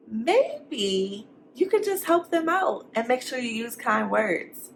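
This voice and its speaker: Woman, primary teacher, expressive storybook delivery, slow pacing, encouraging asides to kids, faint noise behind. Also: mid-range voice, gravelly. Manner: simple, encouraging teacherly phrasing, putting questions to children. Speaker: teacher reading a storybook to young students. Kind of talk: expressive children's read-aloud with asides to the listeners.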